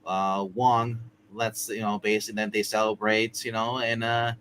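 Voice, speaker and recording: A man's voice: on the low side, flat delivery, fairly bright tone. Man narrating a tabletop game over noise. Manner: casual, halting, circling back as they narrate. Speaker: game master. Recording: clear background noise.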